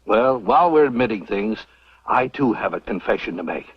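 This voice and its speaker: An actor playing a shrewd, skeptical professor in an old western radio drama, measured and inquisitive. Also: male voice, fairly low pitch, animated, very noisy, close to an everyday voice. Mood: dry, knowing. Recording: muffled old radio recording.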